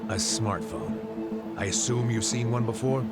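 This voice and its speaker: An actor playing a sly, cynical middle-aged ex-detective in a video game cutscene dub, with a teasing, wry manner. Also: male voice, medium pitch, moderate pace, lightly acted. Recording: game cutscene with loud music.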